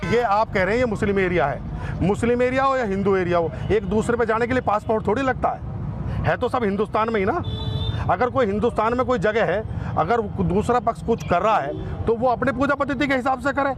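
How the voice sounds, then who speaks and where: High-voiced man arguing in a noisy street. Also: brisk. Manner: argumentative, rhetorical. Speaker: local politician. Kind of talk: heated street argument.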